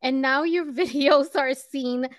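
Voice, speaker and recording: A woman's voice: sits high, takes it slowly, no room sound, studio quality. A woman hosting an interview show who opens with a scripted introduction, then chats with her guest in an upbeat, friendly way. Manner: warm, upbeat greeting of "loves". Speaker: podcast host.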